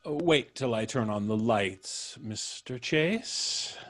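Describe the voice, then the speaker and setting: A suave, mysterious male intruder from an old radio thriller. He speaks slowly in a husky voice, with teasing, sweeping inflection and polite menace. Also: medium pitch, animated. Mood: quietly menacing. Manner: polite, deliberate, teasing, drawn-out delivery.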